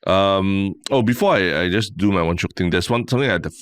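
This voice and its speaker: A man's voice: low voice, fairly quick, smooth. A young Singaporean man co-hosting a podcast, telling a long story in a chatty way with lots of "lah" and restarts. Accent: Singaporean English. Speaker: podcast co-host. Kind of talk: casual chat.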